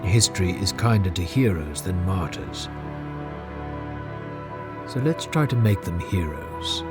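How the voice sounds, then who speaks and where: A man's voice: low voice, animated, measured pace. An actor playing an eager, conciliatory male official who speaks earnestly. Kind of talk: audio drama acting. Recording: music bed underneath.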